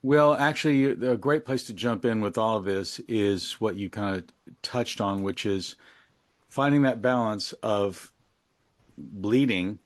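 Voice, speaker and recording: Male interview guest, lower-pitched, dry close sound, candid and reflective, conversational delivery. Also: unhurried, dry recording. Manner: reflective, candid, thinking aloud. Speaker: interview guest, musician and memoir author. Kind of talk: casual, reflective interview talk.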